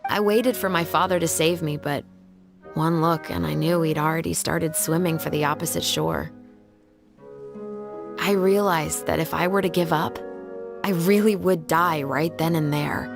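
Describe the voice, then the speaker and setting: A woman playing a resolute, composed young patrol officer in a video game, speaking in an earnest, measured, formal way. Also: low voice, creaky. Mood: somber, reflective. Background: background music.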